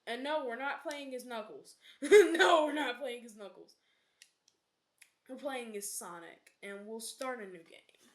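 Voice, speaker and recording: A woman's voice: a little high, measured pace. A young woman streaming a game, chatting casually and rambling off on sidetracks, often correcting herself and dropping into whispered asides.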